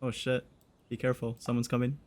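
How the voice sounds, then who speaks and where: A man's voice: mid-range voice, monotone, fairly quick, a little mellow, a little hiss or hum. A young man giving casual, slangy, jokey commentary as he plays a game, swearing freely. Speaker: game streamer.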